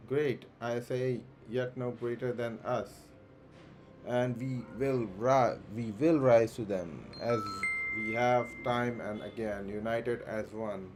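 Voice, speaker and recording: A man streaming a game, reading the on-screen dialogue aloud slowly and plainly, with stumbles and restarts. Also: mid-range voice, fairly even intonation, darkish tone, slight background noise.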